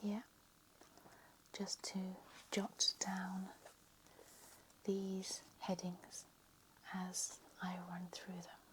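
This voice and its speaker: A woman whispering a slow, soft ASMR lecture roleplay, her voice slightly croaky. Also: medium pitch, level tone, background noise.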